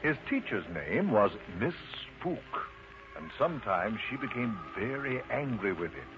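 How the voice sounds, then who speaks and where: Highly animated male children's storyteller. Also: a little slow. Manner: theatrical, emphatic phrasing. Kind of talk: scripted children's story narration. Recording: dull, noisy low-fidelity recording.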